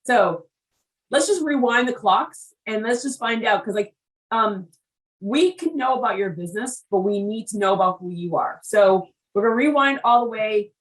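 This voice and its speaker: A woman hosting an interview, chatty and animated, often repeating words as she jumps in. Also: mid-range voice, moderate pace, distant mic, rough recording. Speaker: interview host. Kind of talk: casual conversational interview.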